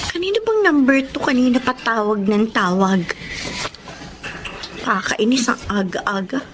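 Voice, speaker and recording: A woman's voice: on the high side, lively intonation, a little slow, music in the background, low-quality recording. A woman with a Filipino accent playing a submissive, lovestruck young woman in a radio drama, hesitant and plaintive. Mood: puzzled.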